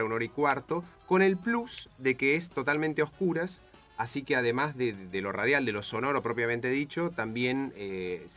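A man's voice: mid-range voice, a bit bright. A man, an improv performer explaining things in a casual radio interview.

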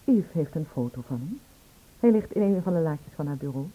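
A woman's voice: deep voice, animated, brisk, mellow. An actress playing an anxious woman in a radio play, speaking in a hesitant, confiding way. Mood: shaken, worried. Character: anxious, confiding woman, shaken witness to a murder. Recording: tinny, narrow-band old radio recording.

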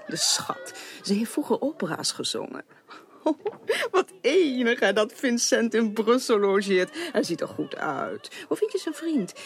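A giddy society lady in a 1980s radio drama. Her fairly high voice leaps wildly up and down as she gushes, giggles and rambles on, affectionate and effusive.

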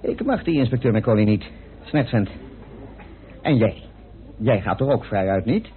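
An actor playing a chummy, easily indignant British parliamentarian in a Dutch radio play, full of questions and exclamations. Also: male voice, lowish voice, lively intonation, measured pace, slight background noise. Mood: taken aback. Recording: narrow, phone-like old radio recording.

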